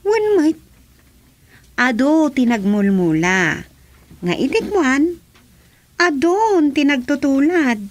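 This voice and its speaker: A high-pitched Ilocano woman, chatty and good-humoured. She talks like a familiar townswoman trading remarks with a friend in a radio comedy drama. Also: animated, slow pace, pauses often. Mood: amused, agreeing.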